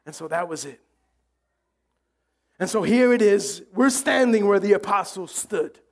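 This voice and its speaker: A pastor preaching a sermon with animated delivery, joking and acting out the voices of the crowd. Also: male voice, high voice, unhurried.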